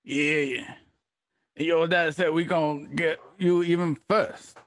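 A man's voice: a little high, steady tone, unhurried, a bit bright, dry recording. A man playing an eager, dim-witted young outlaw sidekick in a radio drama. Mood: eager confused.

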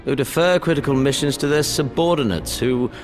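An actor playing a cold, arrogant young imperial prince in a video game cutscene, speaking in a languid, mocking and menacing way. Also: male voice, medium pitch, fairly quick, faint music behind, close to an everyday voice. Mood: scornful tirade.

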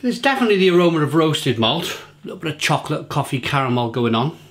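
Chatty english guy in his 40s doing a beer review in his kitchen, kinda high voice, keeps repeating words. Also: sing-song, fast talker, a bit bright. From England. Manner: chatty, repeats words while hunting for them. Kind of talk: casual beer review to camera. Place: home kitchen, indoors.

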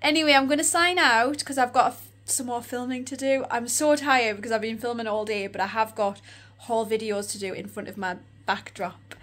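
A tired Australian woman in her forties talks straight to the camera, her voice croaky and a touch high. She rambles cheerfully from topic to topic, with plenty of little asides. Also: fairly even intonation, brisk, few pauses, fairly bright tone. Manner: chatty, rambling, giggly. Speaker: vlogger. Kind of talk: casual shopping vlog chat. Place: room with neon lights, indoors.

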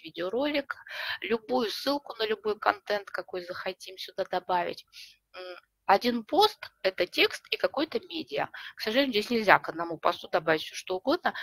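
Gravelly-voiced woman presenting a webinar. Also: mid-range voice, fairly bright tone, dry recording. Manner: friendly, explanatory, with frequent fillers. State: recovering from a cough. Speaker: webinar presenter, librarian and teacher. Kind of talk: online webinar walkthrough. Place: home room with bookshelves. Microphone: webcam video call.

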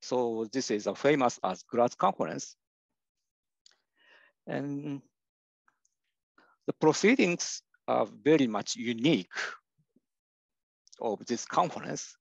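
Older male lecturer, Japanese accent, gravelly, halting delivery full of fillers; dry lo-fi sound. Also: mid-range voice, expressive, no echo. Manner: hesitant, many "uh" fillers and restarts. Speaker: university professor giving a lecture. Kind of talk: slide lecture. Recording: dull lo-fi dry recording.

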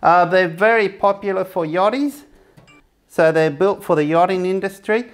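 A man's voice: high voice, moderate pace. Chatty man demonstrating camp cooking. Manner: chatty, rambling, self-correcting. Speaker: camping gear reviewer.

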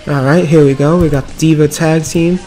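A man with a slightly raspy voice from a cold, giving upbeat, rambling commentary over mobile card game play. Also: a little high, steady tone, fairly quick, warm tone. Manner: upbeat, filler-heavy running commentary. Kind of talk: casual gameplay commentary. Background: background music.

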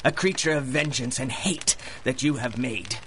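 A man's voice: mid-range voice, moderate pace, background noise, natural voice. A man playing a vengeful, menacing supervillain with a theatrical, taunting manner in a radio drama. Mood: grim, vengeful. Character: vengeful, menacing male supervillain with mind powers. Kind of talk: 1930s radio acting.

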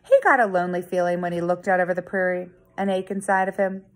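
A woman in her thirties, a teacher, reading a children's story aloud in a dramatic, expressive storytelling manner with deliberate emphasis. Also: on the low side. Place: living room at home. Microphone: phone or tablet at arm's length.

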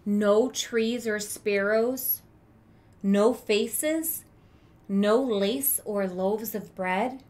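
A woman's voice: medium pitch, very slow, a little mellow. A woman in her thirties reading a children's storybook aloud expressively, adding asides and questions for young listeners. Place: small room with bookshelf, indoors.

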